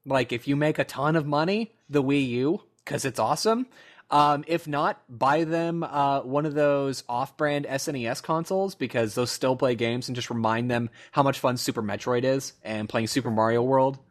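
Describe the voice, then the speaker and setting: A young man co-hosting a gaming podcast, his voice sitting at an ordinary middle pitch. He talks in a loose, rambling way, full of fillers, and laughs at his own stories. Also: normal speed. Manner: rambling storyteller, laughs often, many fillers. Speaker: podcast co-host. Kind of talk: casual gaming podcast chat.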